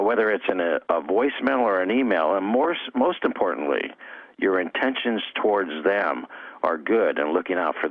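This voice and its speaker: Dull-sounding recording, low-voiced lively male coach. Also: no echo. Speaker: network marketing coach and trainer. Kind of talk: instructional interview answers. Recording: dull, dry lo-fi audio.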